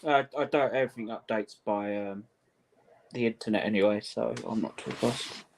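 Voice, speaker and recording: A man's voice: lowish voice, moderate pace, a little hiss or hum. A man chatting casually on a live stream in an easygoing, agreeable, offhand way. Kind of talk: casual chat.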